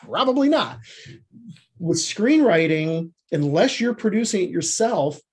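A man talking informally as an interview guest, telling things in an animated, anecdotal way. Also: high-pitched, measured pace, husky. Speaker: screenwriter and producer, podcast guest. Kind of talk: casual interview talk.

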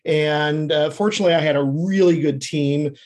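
A man's voice: a little high, steady tone, normal speed, a little mellow. A man talking casually as a screenwriter and director guest on a podcast, often chuckling as he speaks.

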